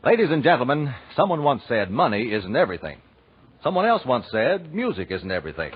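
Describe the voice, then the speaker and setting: A man's voice: medium pitch, very animated, fairly quick, over music. A male radio announcer reading scripted copy in a polished, emphatic 1940s sales style. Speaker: radio announcer and sponsor pitchman. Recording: dull 1940s radio recording.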